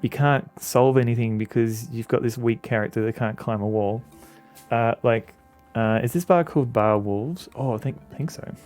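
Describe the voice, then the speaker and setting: Male streamer, mid-pitched voice, rambling, chatty restarts, faint game music underneath. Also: moderate pace, darkish tone. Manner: rambling, frequent restarts and fillers. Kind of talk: casual chat with viewers while playing a game.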